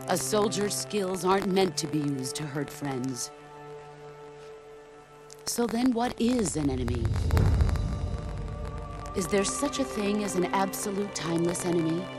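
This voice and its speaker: Woman acting a grave, low-voiced veteran soldier mentor. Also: lightly acted. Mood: resolute. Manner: measured, grave, philosophical delivery. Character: legendary middle-aged female soldier mentor, solemn and philosophical. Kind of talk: dramatic video game cutscene monologue.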